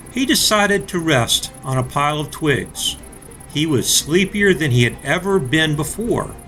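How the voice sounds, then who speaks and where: Male children's story reader, slightly high voice, very varied melody, expressive delivery to young listeners. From North Carolina, United States. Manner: deliberate, expressive, addressing young listeners directly. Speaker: storybook reader for children, school resource officer.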